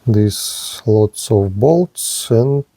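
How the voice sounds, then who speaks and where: A man giving an unscripted hands-on product demonstration as a reviewer, speaking haltingly in short, broken-up phrases. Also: fairly low pitch, lively intonation, vocal fry, warm tone, no room sound. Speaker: gadget reviewer presenting a radio.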